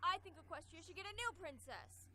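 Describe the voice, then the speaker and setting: A woman voicing cartoon pony characters in a comedic animated parody dub. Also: extremely high pitch, brisk, heavy background noise. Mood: defiant, mocking.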